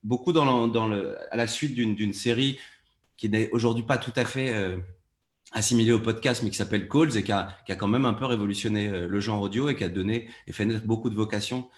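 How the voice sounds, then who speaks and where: A man's voice: lowish voice, fairly quick. A man explaining things as a podcast producer in a panel discussion.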